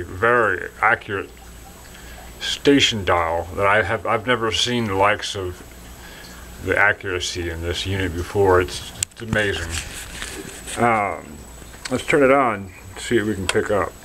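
A man giving a casual, halting product demonstration from behind a hand-held camera indoors, as if selling something. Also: low voice, background noise. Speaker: seller demonstrating a restored vintage radio signal tracer. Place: dim indoor room, speaking from behind the camera.

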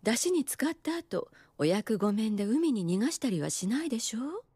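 Anime nurse: grown woman, gentle and coy, breathy edge, expressive inflection, dry sound. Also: fairly high pitch, normal speed, no echo. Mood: wistful, resigned. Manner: gentle, coy, feminine phrasing. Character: composed adult nurse, softly teasing, wistful. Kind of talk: anime drama dialogue.

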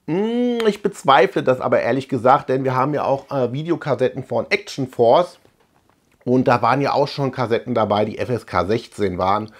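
A man's voice: mid-range voice, slightly rough. A man in his forties from Germany, hosting a video in a casual, conversational way with musing asides. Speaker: host of a retro film and cartoon collecting channel. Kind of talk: casual chat to camera. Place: small room lined with shelves, indoors.

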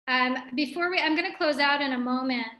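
A woman's voice: high voice, a little fast, pushed and bright. A woman in her forties giving a spiritual webinar from her living room over a webcam, thinking aloud and gesturing as she speaks. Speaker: spiritual teacher. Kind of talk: webinar talk with viewers. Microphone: webcam on video call. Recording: roomy webcam audio.

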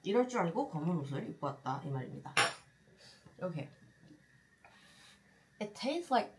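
A woman's voice: lowish voice, sing-song, racing, a little mellow, slight background noise. A young woman with a Korean accent, chatting casually while she cooks and eats at a table at home. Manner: chatty, mixes in Korean phrases. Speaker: cooking vlogger. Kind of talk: casual cooking chat. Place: home kitchen table, indoors. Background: frying and sizzling from the pan.

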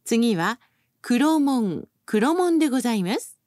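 A woman's voice: on the high side, big pitch swings, measured pace, darkish tone, slight background noise. A woman reading scripted bus announcements as a recorded announcer, in a formal, polite and carefully enunciated manner.

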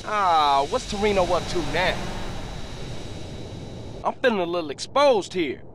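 Casting: brash young American gangster, high animated voice, cocky street talk for a video game. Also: male voice, measured pace, background noise. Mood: tense, cocky. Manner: cocky, swearing, street slang. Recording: game audio under loud gunfire, engines.

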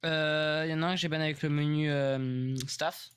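A man's voice: on the high side, fairly even intonation, normal speed, fairly bright tone, clean tone. A man in casual voice chat, a server moderator with a sheepish, apologetic manner.

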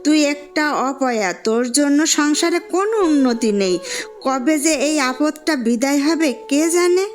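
A woman acting a high-pitched, harsh cartoon stepmother. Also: moderate pace, close to an everyday voice. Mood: angry scolding. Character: harsh scolding stepmother and her meek, wronged stepdaughter.

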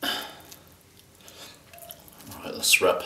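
A man's voice: very slow, pushed and bright. A young man giving casual commentary on an eating video, talking in short exclamations between bites, picked up from a little distance indoors. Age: young adult. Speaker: mukbang video host. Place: indoors against a plain dark backdrop. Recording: roomy, distant mic, faint noise.

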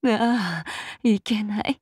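A woman playing a soft-spoken, menacing female demigod avenger in an anime game, using archaic, formal and polite speech. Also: medium pitch, takes it slowly, husky, no room sound, studio quality. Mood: coy, longing. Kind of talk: anime mobile game voice lines.

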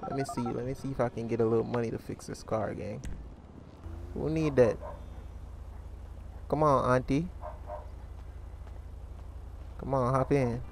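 A man's voice: mid-range voice, steady tone, normal speed, gravelly, long pauses, background noise. A man giving casual, slang-heavy commentary while playing a crime role-play game, calling his viewers gang. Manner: slangy, laid-back, calls viewers gang. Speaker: gaming YouTuber playing a role-play series.

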